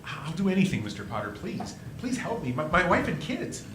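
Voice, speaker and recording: Man acting a overwrought family man, swinging pitch. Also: wildly swooping pitch, a little fast, background noise. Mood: contrite, pleading. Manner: stammering, overwrought, emotional. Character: desperate, hot-tempered family man at breaking point. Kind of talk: live stage radio play acting. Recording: roomy live stage, music behind.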